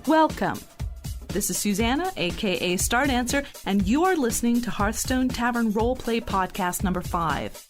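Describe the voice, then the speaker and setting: A woman's voice: on the high side, expressive, normal speed, faint music behind. A woman hosting a podcast, presenting topics and leading discussion. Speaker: podcast host.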